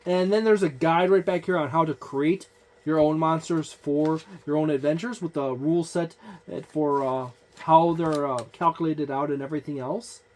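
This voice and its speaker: A reviewer giving a casual page-by-page overview of a game book, listing entries in a halting way with frequent fillers and restarts. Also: mid-range voice, steady tone, a little mellow. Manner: offhand, informal wording.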